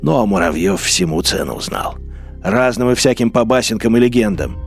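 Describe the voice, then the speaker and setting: A man's voice: fairly low pitch, lively intonation, moderate pace, gravelly. Man narrating a radio drama over faint music.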